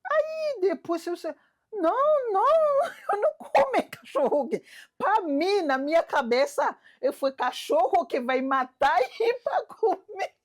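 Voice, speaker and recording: A woman with a Haitian accent, telling an anecdote as an animated storyteller who laughs as she talks. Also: very high-pitched, a little slow. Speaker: interviewee. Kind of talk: documentary interview.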